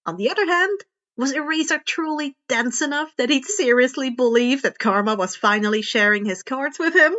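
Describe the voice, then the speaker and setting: A woman's voice: high voice, lively intonation, normal speed, fairly bright tone. A woman reading fan fiction aloud as narrator, now and then stumbling and rereading phrases. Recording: dull, muffled lo-fi home recording.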